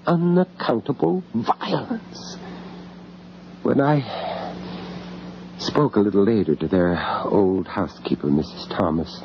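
An actor playing an anxious English gentleman in a radio horror drama, halting and stammering in distress, on an old radio recording. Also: medium pitch, creaky, lo-fi sound, a little hiss or hum. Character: shocked, anxious English gentleman, family friend of victims. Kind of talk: radio horror drama acting and first-person narration.

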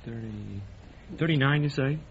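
A man's voice: mid-range voice, moderate pace, vocal fry. A man aged thirty answering questions unscripted as a contestant on an old-time radio quiz. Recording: dull vintage radio, faint noise.

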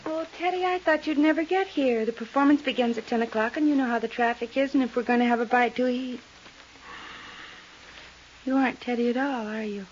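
An actress playing a scatterbrained, affected society wife, chattering airily in a 1950s radio drama. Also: female voice, on the high side, brisk, natural voice. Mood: flustered, puzzled. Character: flighty, scatterbrained society wife, airy and theatrical. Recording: hissy, muffled 1950s radio recording.

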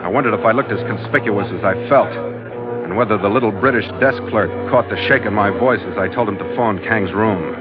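A man's voice: on the low side, fairly quick, lightly acted. An actor playing a weary, tough sea captain narrating his log in terse 1940s radio style. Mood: tense, weary. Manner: terse, hard-boiled narration. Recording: muffled old radio recording with music.

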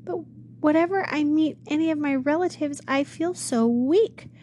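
A woman's voice: high voice, moderate pace, mellow, slight background noise, voice pushed up, natural voice. A woman voicing a timid young crippled woman who is fearful and pleading, in a storybook reading. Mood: despairing. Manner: anxious, tearful pleading.

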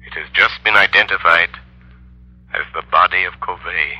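A man playing a commanding, all-knowing avenger in a 1930s radio drama, speaking gravely and deliberately through a telephone-like filter. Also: low-pitched, music in the background. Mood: grave, reassuring.

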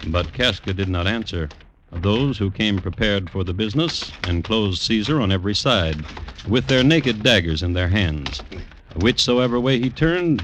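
A man playing a droll crime historian who narrates in a formal, measured, deadpan 1950s radio style. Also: on the low side. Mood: grave. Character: dry, droll crime historian hosting his true-crime tales. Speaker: host and narrator. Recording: noisy vintage radio recording.

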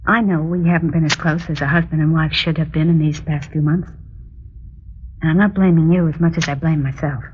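An actress playing an anxious, devoted wife in an old radio drama, speaking earnestly and pleadingly in formal diction with a husky voice. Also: female voice, deep voice, steady tone, brisk, a little hiss or hum, lightly acted. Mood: tender, pleading. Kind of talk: mid-century radio drama acting. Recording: dull, lo-fi old radio recording.

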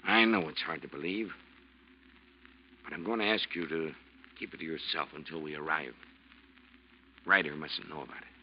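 An actor playing a brooding, imperious sea captain with clipped, stiff authority in old-time radio drama style. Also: male voice, a bass voice, normal speed, pauses often, husky. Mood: guarded, contrite. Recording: muffled old radio recording.

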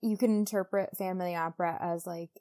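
A woman's voice: lowish voice, steady tone, quick pace, warm tone. A woman co-hosting a casual book podcast, talking in a chatty, gushing way full of conversational asides. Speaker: podcast co-host.

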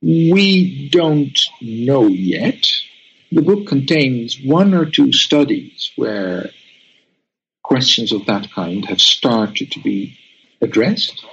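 A man's voice: mid-range voice, sing-song, drawn-out words, creaky, a bit bright, dull audio, slight background noise. A man whose first language is Dutch, a guest researcher answering interview questions thoughtfully, with many restarts and tag questions.

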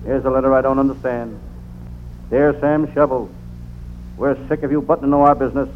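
An actor playing a deadpan, world-weary private detective narrating an old-time radio comedy. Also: male voice, medium pitch, brisk, natural voice. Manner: deadpan, punning first-person delivery. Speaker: narrator and lead character. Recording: noisy, narrow-band vintage radio recording.

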